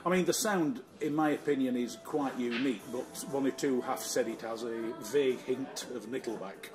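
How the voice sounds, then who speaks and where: Middle-aged male host, casual and chatty, medium pitch, clear music in the background. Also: moderate pace. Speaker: music show interviewer. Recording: music playing behind the voice.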